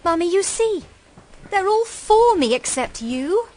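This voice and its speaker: A woman's voice: very high voice, sing-song, a little slow, a little mellow, heavily acted. An actress with a British accent playing an emotional, girlish young daughter, sweet but stubborn, in radio drama style. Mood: eager, girlish. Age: young adult.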